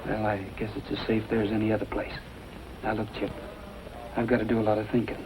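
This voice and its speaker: An actor playing a kindly, steady young cowboy hero in a 1940s western drama, on a dull, noisy recording. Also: male voice, on the low side, fast, natural voice. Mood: concerned, confiding. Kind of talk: 1940s film and radio western acting. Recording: dull lo-fi old recording, background noise.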